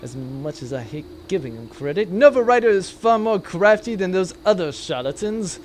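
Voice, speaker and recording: A man's voice: sits high, lively intonation, normal speed, faint music behind. A man voicing a pompous, boastful military tracker in a comic sci-fi audio drama. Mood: smug, boastful. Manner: pompous, theatrical.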